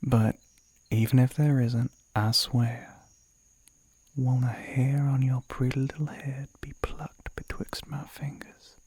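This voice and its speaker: A man playing a suave, flirty male demon in an ASMR roleplay, with a Southern American accent, a breathy, gravelly voice that often drops to a whisper, and a teasing, coaxing drawl. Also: mid-range voice, little pitch movement, takes it slowly, darkish tone, dry recording, low-quality recording. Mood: coaxing, reassuring.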